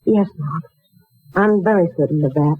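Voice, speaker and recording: An actress playing an uneasy, earnest young working woman in an American 1940s radio drama, speaking hesitantly and deliberately with an American accent. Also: lowish voice, husky. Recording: muffled, tinny 1940s radio recording with music.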